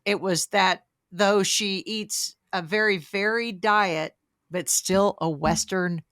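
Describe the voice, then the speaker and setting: Animated middle-aged woman host. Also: mid-range voice, slow pace, no echo, clean studio sound. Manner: animated storyteller, frequent "right" affirmations. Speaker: podcast host. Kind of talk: casual interview chat.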